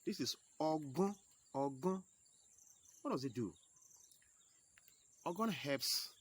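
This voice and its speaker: A man with a Yoruba accent teaching a home video lesson, going slowly and repeating each key term step by step. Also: on the high side, lively intonation, slightly rough. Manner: slow, repeats key terms, explains step by step. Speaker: percussion teacher.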